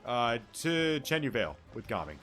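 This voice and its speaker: A man streams a game with casual commentary. His voice sits at an ordinary middle pitch, and he thinks aloud in short, broken fragments. Game music plays clearly behind him. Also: very animated, normal speed, a bit bright. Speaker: gaming streamer. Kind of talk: casual let's play commentary.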